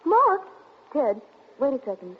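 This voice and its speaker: An actress playing a worried, protective wife in 1940s radio suspense, earnest and emphatic. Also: female voice, medium pitch, normal speed, slightly rough, natural voice. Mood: pleading. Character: worried, protective wife hiding what she knows. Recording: scratchy, muffled 1940s radio recording.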